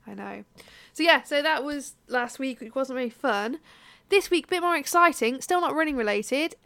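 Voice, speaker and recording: A woman co-hosting a podcast in casual chat, a bit bunged up from a cold, chatty and quick to laugh. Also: high voice, lively intonation, a bit bright, no echo. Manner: chatty, laughs often, drawn-out fillers. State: congested from a cold. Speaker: podcast co-host.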